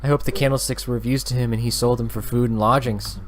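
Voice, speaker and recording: A man's voice: mid-range voice, fairly even intonation, fast, faint music behind, close to an everyday voice. A man voicing a kind, naive young nun who speaks earnestly and pleadingly, in a visual novel read-aloud.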